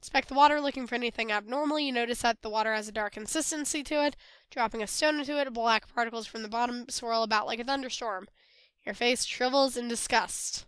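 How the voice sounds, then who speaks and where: A young woman playing a text adventure game, reading its lines aloud and commenting in between. She is a little hoarse from a cold, laughs often and swears loudly when the game surprises her. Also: high-pitched, no room sound. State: hoarse, getting over a cold. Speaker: let's play gamer.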